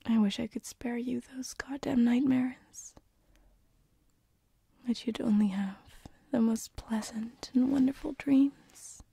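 A woman playing a gentle, teasing sleep paralysis demon in a whispered ASMR roleplay, close on a binaural microphone, with a breathy, hesitant delivery. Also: fairly high pitch, fairly even intonation, dry recording, heavily acted. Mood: sympathetic, soothing. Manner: slow, soothing, hesitant, teasing.

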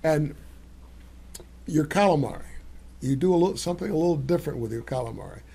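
A man's voice: a little high, expressive, quick pace, dark tone, husky. An older man hosting a cooking talk show, chatting enthusiastically with guests and rambling through restarts. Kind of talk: casual chat. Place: studio set, seated on leather couch.

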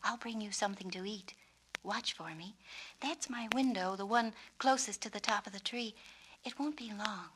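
A woman voicing an earnest, tender little girl in a storybook, soft and breathy. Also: moderate pace, noisy background, lightly acted. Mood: soft, reassuring. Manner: soft, breathy, higher than the narration.

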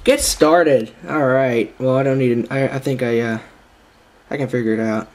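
A man hosting a casual unboxing vlog in a halting, informal way, recorded indoors on a handheld camera's built-in microphone. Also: medium pitch, slight background noise. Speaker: video host.

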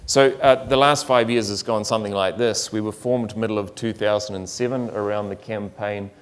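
A middle-aged man answers on a conference panel, laying out his points in a measured, explanatory way. His voice sits low and keeps a flat, even melody. The sound is taken in a conference room. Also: clean tone. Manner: measured, explanatory, listing examples.

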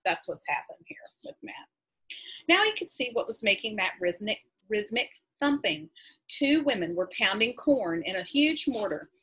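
An American woman in her forties with a husky voice, a teacher reading a novel aloud expressively and adding explanatory asides. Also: mid-range voice, fairly bright tone, rough recording. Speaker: high school English teacher reading to class. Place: small room at home, indoors.

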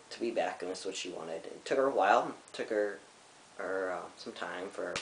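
A young man in his twenties sits on a living-room couch and talks to his camera in a casual vlog, halting and full of fillers. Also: low-pitched. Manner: halting, many fillers and restarts. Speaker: vlogger. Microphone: camera in front of him. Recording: roomy with faint hiss.

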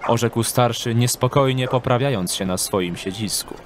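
A man's voice: mid-range voice, moderate pace, slight background noise. A man reading scripted narration for an audio drama as its storyteller. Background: background music and street ambience.

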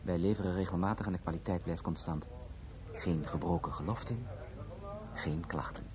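An actor playing a formal, guarded drug supplier who speaks with measured politeness in a Dutch radio play. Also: male voice, deep voice, crowd noise, lightly acted. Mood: businesslike. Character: courteous, guarded foreign drug supplier, a man. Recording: noisy radio drama recording.

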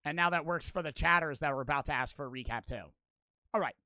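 A man in his twenties streaming a game on a headset mic, excitable and swearing, with his voice cracking from wear. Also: fairly high pitch, quick pace, no echo, studio quality. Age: 20s. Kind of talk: livestream gaming commentary. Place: small bedroom streaming setup. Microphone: headset mic on boom arm.

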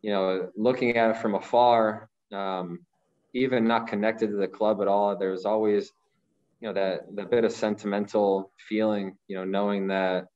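A man in his thirties from Ohio, a professional goalkeeper answering press conference questions in a measured way, often repeating words as he thinks. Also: lowish voice, flat delivery, a little mellow. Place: small room, branded backdrop. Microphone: video call, masked. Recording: slightly dull lo-fi audio.

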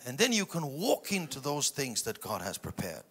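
A man's voice: medium pitch, wildly swooping pitch, moderate pace, husky. A middle-aged man, a native German speaker, preaching an emphatic sermon from a stage into a handheld microphone with dramatic swings in volume. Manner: emphatic delivery with dramatic swings from hushed asides to shouted phrases. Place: large church auditorium stage before a seated audience.

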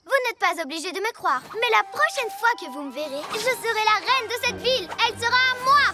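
A woman's voice: very high-pitched, lively intonation, fairly quick. An actress voicing a boastful, power-hungry young girl villain in a French cartoon, theatrical and taunting. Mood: boastful, scheming. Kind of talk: French cartoon dub acting. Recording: music behind the voice.

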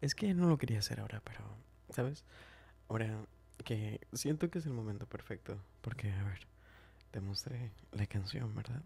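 A man playing a smitten, tender and teasing best friend in an ASMR roleplay, speaking softly and hesitantly, often whispering and repeating himself. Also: lowish voice, normal speed. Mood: shy, intimate. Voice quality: soft, breathy, often whispering. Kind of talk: ASMR roleplay, intimate chat.

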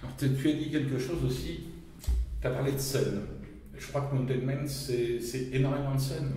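A man's voice: mid-range voice, normal speed, dark tone. A man working as a radio interviewer, chatting casually with his guests. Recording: very echoey, slightly distant, background noise.

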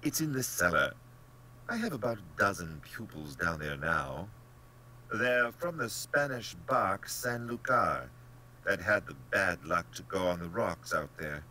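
Pompous, wildly swooping aristocratic general. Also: male voice, sits low, big pitch swings, measured pace, pushed and bright, slight background noise, pitched above the performer's own voice, heavily acted, rough recording. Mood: blandly menacing. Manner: blandly polite, pompous, theatrically emphatic. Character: genteel, smug, cold-blooded aristocratic general who hunts men. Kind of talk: dramatic audiobook character acting.

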